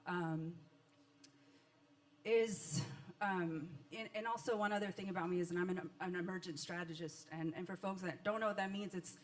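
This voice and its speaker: A woman's voice: lowish voice, steady tone, moderate pace. An American woman in her thirties or forties asking a reflective, personal question in an earnest, searching, hesitant way. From Los Angeles, United States. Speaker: community organizer asking an audience question.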